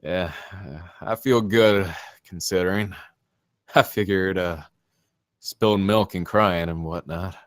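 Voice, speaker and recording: A man's voice: sits low, slow pace, smooth, no echo, studio quality. A man acting a shaken, guarded survivor in a film script read, speaking tersely and hesitantly. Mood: shaken, subdued. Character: Damien, shaken, guarded male survivor of an attack.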